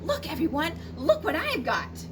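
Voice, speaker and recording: Storybook schoolgirl mouse, played by a woman: high, eager, impulsive, emphatic; noisy recording. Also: wildly swooping pitch, moderate pace. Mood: insistent. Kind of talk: children's storybook read-aloud. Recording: noisy recording, distant from the mic.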